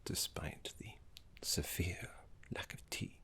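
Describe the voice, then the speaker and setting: A man voicing a gentle, courteous, scholarly older necromancer teacher in a soft-spoken, encouraging ASMR roleplay, with a breathy whisper. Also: slow pace. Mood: politely fussy. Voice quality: breathy, creaky low whisper. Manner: soft-spoken, genteel, encouraging, slightly fussy.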